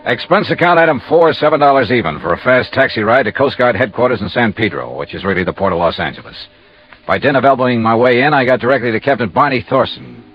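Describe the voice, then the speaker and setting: An American man playing a brisk, skeptical insurance investigator who narrates his own case in a 1950s radio detective drama. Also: mid-range voice, lively intonation, natural voice. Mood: brisk narrating. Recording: dull vintage radio recording.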